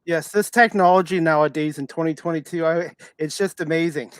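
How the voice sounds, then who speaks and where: A man in his fifties with a fairly high, clear voice hosts a sports talk podcast. He speaks casually, like an eager fan who appreciates his guest. The sound is close and dry. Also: fairly even intonation, brisk, no echo. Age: 50s.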